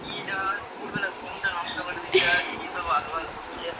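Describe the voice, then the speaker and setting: A man's voice: high voice, steady tone, fairly quick. A man talking bluntly and argumentatively in a casual phone conversation. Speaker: prank call recipient. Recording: phone call with clear vehicle-like noise.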